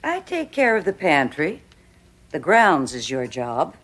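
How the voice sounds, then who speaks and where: A woman playing a brisk, proprietary housekeeper in a television drama. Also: low voice, huge pitch swings, a bit bright, exaggerated voice. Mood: gracious, scolding. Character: brisk, proprietary housekeeper who scolds the groundskeeper.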